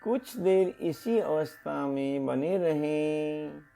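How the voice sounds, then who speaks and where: A man leading a scripted guided meditation in a soothing, deliberate manner, with pauses and gentle repetition. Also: on the high side, vocal fry. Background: meditation music.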